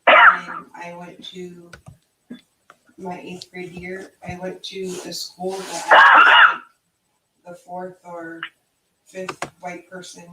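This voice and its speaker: Matter-of-fact woman, slow flat voice, far from the mic, echoey, loud noise behind. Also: fairly low pitch. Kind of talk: casual chat.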